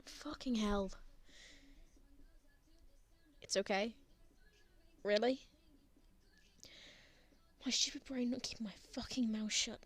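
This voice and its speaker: A woman playing a prickly, flustered, lovestruck young man in an intimate ASMR roleplay, with halting, sardonic delivery. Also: fairly high pitch, husky. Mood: awkward, apologetic.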